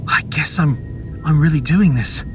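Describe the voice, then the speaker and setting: A man's voice: fairly high pitch, moderate pace, over music, close to an everyday voice. A man voicing a nervous, inquisitive young pub customer who speaks hesitantly and politely in a horror story. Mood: apprehensive. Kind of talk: dramatised horror audio story.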